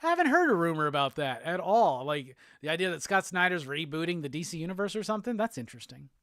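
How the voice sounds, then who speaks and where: A man from Alabama with a Southern accent, hosting a casual live show and answering chat comments in a relaxed, rambling way. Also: fairly high pitch, quick pace, no room sound, studio quality. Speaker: host.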